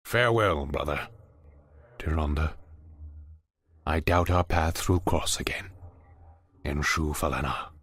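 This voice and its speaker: Very deep, brooding demon elf. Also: male voice, a bit bright, dry recording, full character voice. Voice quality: husky, very deep. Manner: slow, brooding, theatrical. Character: brooding, power-hungry male demon elf outcast. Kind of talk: dramatic fantasy game acting.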